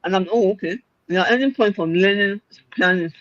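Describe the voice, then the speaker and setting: A woman's voice: medium pitch, fairly quick, no room sound, dull audio. A Nigerian woman chatting casually about raising children, laughing often as she reflects. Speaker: parent in a discussion. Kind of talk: casual chat.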